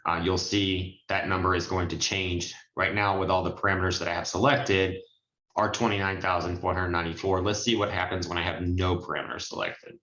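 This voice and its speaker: Male online trainer, lowish pitch, informal step-by-step explainer, muffled call sound. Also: steady tone, a little fast, bright, forceful tone, roomy sound. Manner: explanatory, step-by-step, addresses listeners directly. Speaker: notary trainer and signing agency presenter. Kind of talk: informal screen-share training walkthrough. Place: indoors on a group video call.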